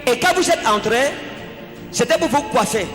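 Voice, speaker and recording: A man's voice: very high voice, animated, normal speed. A man preaching as a prophet at a church service, questioning a woman in short repeated questions. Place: large church hall. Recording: echoey church hall with music.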